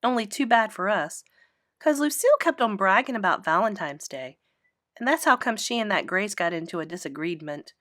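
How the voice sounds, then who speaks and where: A woman narrating a children's book aloud in an expressive read-aloud style. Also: medium pitch, moderate pace. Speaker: storybook reader.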